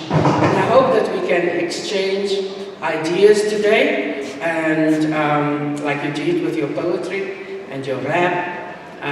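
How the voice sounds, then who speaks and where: Slow-spoken woman in an echoey hall. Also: deep voice. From South Africa. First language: Afrikaans. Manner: slow and warm, with fillers and repeated words. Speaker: visiting poet. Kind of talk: self-introduction to students. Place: school hall. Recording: echoey hall, distant mic, background noise.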